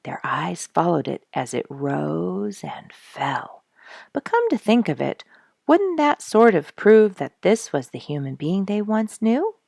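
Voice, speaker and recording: Low-voiced, animated woman storyteller. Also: slow, creaky, husky, dry recording, studio quality. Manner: dramatic, rhetorical questioning delivery. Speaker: storyteller, show host reading aloud. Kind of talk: expressive audiobook narration of a children's animal story.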